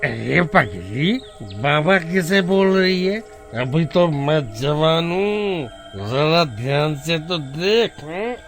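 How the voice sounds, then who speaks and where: A man's voice: on the high side, lively intonation, slow, a bit bright, soft background music, exaggerated voice. A man playing a lecherous, drunken old villager with a wheedling drawl in a horror storybook tale. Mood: tipsy leering. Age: older. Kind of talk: Hindi horror storybook acting.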